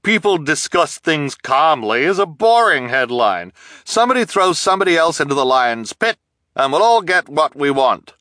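A man voicing an excitable reporter hungry for sensational headlines in an audiobook, with a brash manner. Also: a little high, moderate pace, dry recording, clean studio sound, voice pushed up.

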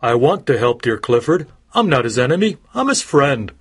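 A man's voice: mid-range voice, sing-song, moderate pace, a bit bright. A man doing a scheming, greedy old judge. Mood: menacing. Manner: smooth, menacing. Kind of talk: audiobook character voice.

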